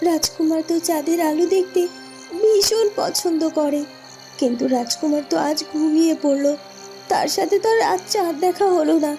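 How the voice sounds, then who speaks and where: A woman as a very high-pitched, anxious young heroine. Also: normal speed, dark tone. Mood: worried, wistful. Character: anxious young heroine Phulkumari, polite but indignant. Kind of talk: Bengali fairy-tale cartoon dubbing. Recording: music behind the voice.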